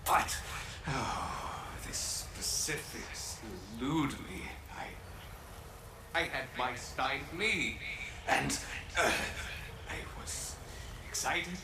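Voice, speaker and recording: An actor playing an excitable, forgetful ghost storyteller in a video game, theatrical and halting, with an echo over background noise. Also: male voice, on the high side. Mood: confused. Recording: echoey, noisy ambient game scene.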